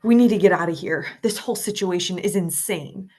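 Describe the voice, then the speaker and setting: A woman's voice: lowish voice, fairly quick, a little mellow, close to an everyday voice. Woman acting an earnest, anxious loyal friend. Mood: urgent. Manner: earnest, urgent. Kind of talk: screenplay table read.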